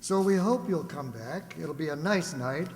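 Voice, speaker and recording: A man's voice: a little high, animated, normal speed, darkish tone. A man announcing live on stage for a radio-play group, folksy and conversational, with rambling asides in an echoey, noisy hall. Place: reverberant hall before a live audience.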